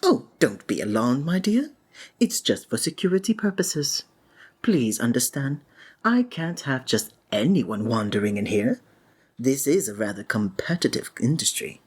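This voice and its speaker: A woman playing a flamboyant, courtly and sinister stage magician in a theatrical ASMR roleplay, with flowery, drawn-out, flattering phrasing. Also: extremely low pitch, husky, heavily acted. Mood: smoothly guarded.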